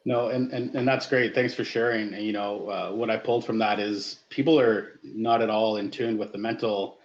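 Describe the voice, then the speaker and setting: A man's voice: lowish voice, fairly even intonation, normal speed. A man guest-hosting a panel discussion as a coach, speaking hesitantly with many fillers. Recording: echoey, dull lo-fi sound.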